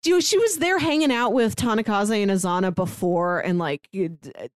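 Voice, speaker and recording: A woman chatting casually as a podcast co-host, retelling a story in an animated way and acting out quotes. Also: mid-range voice, brisk, clear, resonant tone, no room sound, studio quality. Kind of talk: casual chat recapping a manga plot.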